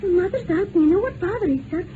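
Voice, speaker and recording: An eager servant girl in a vintage radio play, with an extremely high, bubbly voice. The recording is thin and clearly noisy. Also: lively intonation, brisk, phone-quality sound. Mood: chirpy, excited. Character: chirpy, eager young maid or servant girl. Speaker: actor. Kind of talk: old-time radio drama acting.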